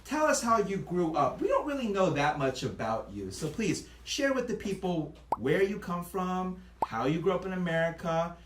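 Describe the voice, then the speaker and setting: A man hosting a comedic talk show, playfully teasing his guest and shouting the show intros. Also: high voice, sing-song, moderate pace, few pauses, echoey room, background noise.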